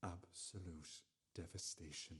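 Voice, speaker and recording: An actor playing a troubled man delivering an intense, halting radio drama monologue full of repeated phrases. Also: low voice, fairly even intonation, fairly bright tone. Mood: hushed devastation. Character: troubled man recounting laughter turning to anguish.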